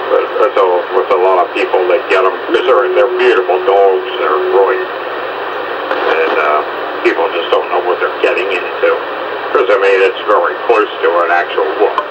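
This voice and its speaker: A man's voice: sits low, fairly even intonation, moderate pace, distant mic, dull audio, noisy background. A man chatting casually in a rambling, conversational way. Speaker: dog rescue volunteer chatting on air. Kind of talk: casual chat.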